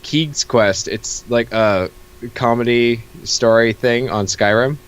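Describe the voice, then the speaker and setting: A man's voice: mid-range voice, takes it slowly, clean tone. A man co-hosting a comic reading, chatting casually and joking with plenty of swearing. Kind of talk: casual chat and comic riffing.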